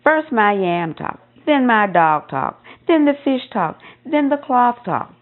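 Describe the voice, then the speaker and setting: A woman voicing a bewildered, frightened male farmer in a folktale, excitable and emphatic in repetition. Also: on the high side, slow pace, narrow, tinny audio, voice pushed up. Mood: breathless alarm.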